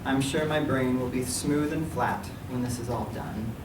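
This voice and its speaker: A man reads his writing aloud in a measured, even voice at a middle pitch. He sounds distant from the mic, the room echoes around him, and a clear hiss of noise sits underneath. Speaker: writer reading his work. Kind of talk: literary reading. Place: library meeting room.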